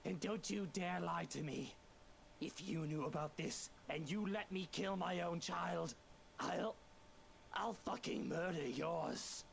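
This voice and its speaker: A man's voice: on the high side, takes it slowly, gravelly, heavily acted. An actor playing an anguished, unhinged young man turned killer in a visual novel, menacing and bitter. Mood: furious, threatening. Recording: game audio through stream, background noise.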